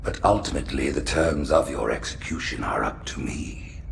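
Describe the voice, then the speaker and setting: Alien high priest: extremely low, gravelly, silky menace, imperious. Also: male voice, animated, normal speed, slight background noise, full character voice. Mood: menacing. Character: scheming ancient alien high priest, male.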